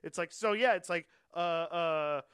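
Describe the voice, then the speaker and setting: Man co-hosting a podcast, high clear voice, dry close sound, rambling casual talk. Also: measured pace, fairly bright tone, dry recording. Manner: rambling, filler-heavy, laughs a lot. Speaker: podcast co-host. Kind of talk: casual chat. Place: small self-tape studio.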